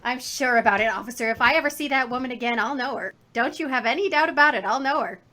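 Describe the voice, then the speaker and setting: A woman's voice: on the high side, fast talker, background noise, lightly acted. An actress playing a chatty, indignant, folksy woman shopkeeper in a 1950s radio drama. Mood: emphatic. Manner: folksy, garrulous, emphatic.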